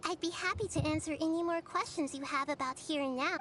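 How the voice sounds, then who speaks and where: A woman playing a gentle, wise, childlike girl deity in an anime video game, small-voiced, measured and articulate. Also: high-pitched. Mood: patient. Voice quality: small, girlish. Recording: game stream with background noise.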